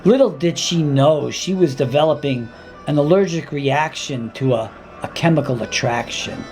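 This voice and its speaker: A man reading his own dramatic monologue in a deliberate, intense spoken-word style, with weighty pauses and repeated words. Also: fairly high pitch, a little mellow, soft background music.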